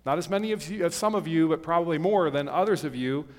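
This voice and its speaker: A pastor in his fifties addresses his congregation in an earnest, conversational manner, often pausing on fillers and restarting phrases. His voice sits a little high for a man. A long reverb trails each phrase, as in a large hall. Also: a little mellow. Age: 50s. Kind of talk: sermon.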